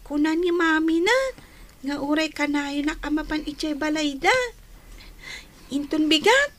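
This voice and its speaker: A woman playing a lively little girl in a radio drama, with a Filipino accent. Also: high voice, moderate pace, natural voice. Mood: chatty, pleading.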